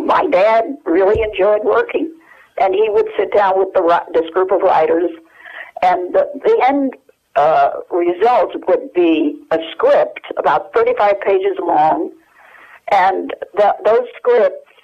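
A woman in her eighties, speaking as an interview guest by telephone, hesitant and searching her memory with many fillers. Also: medium pitch. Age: 80s. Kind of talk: phone interview. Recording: muffled telephone line.

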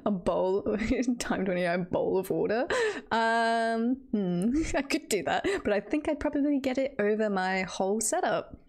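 A woman streamer chatting casually to her live audience in a nervous, excited, giggly and rambling way. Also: medium pitch.

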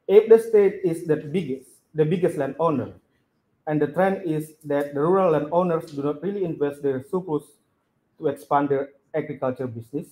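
A man in a conference room reading prepared remarks as a discussant, in a deliberate, emphatic tone, picked up by a camera across the room. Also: a little high, dark tone, poor audio.

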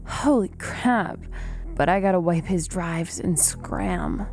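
Young dystopian runaway, guarded and defiant, lowish register, radio play with soft music bed. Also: female voice, expressive, slow pace. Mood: shaken, resolute. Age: young adult. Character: young cyberpunk rebel woman, wary and defiant. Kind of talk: radio drama acting with narration.

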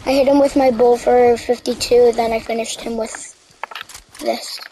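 A young woman casually commenting on her own gameplay, relaxed and a bit tired. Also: moderate pace, a little hiss or hum, low-quality recording. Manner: relaxed, low-key narration of play, says she is tired. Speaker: gaming video creator.

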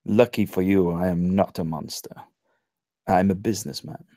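Man playing low-voiced older crime boss, coldly menacing. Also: steady tone, normal speed, dark tone, dry recording, studio quality, natural voice. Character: thin, serious Cuban crime boss in his sixties. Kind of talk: script table read.

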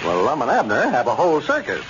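A man's voice: mid-range voice, wildly swooping pitch, fast. A man with an American accent announcing in the polished style of 1930s and 40s radio. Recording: muffled, noisy old radio recording.

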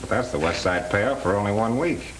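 A man's voice: lowish voice, expressive, brisk, lightly acted. An actor playing a businesslike racketeer henchman in a 1930s film, on a noisy, crackly soundtrack.

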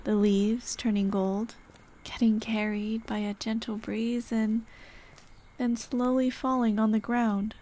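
A woman playing a tender, playful late girlfriend in an ASMR roleplay, speaking in a gentle, coaxing, teasing way. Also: on the high side, little pitch movement, slow pace. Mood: wistful, gentle. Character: tender, playful dead girlfriend comforting her grieving partner. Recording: clear ambient noise behind. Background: ambient sound effects.

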